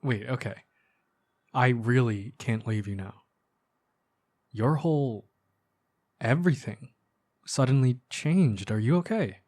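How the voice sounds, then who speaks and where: A man's voice: medium pitch, slow pace, pauses often. Caring young best friend, acted by a man. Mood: worried, gentle. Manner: soft-hearted, hesitant, casual chatty phrasing. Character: caring, earnest young male best friend, gently opening up. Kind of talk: ASMR roleplay, intimate one-sided conversational acting.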